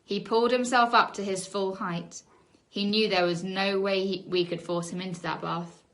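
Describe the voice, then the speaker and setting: Casting: woman, primary-teacher storyteller, medium pitch, animated read-aloud with dramatic stress on key words. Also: normal speed. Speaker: teacher reading a children's book aloud.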